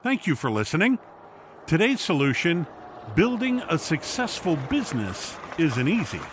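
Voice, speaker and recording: A man's voice: a little high, wildly swooping pitch, moderate pace, noisy background. A man presenting a podcast in a scripted narration style.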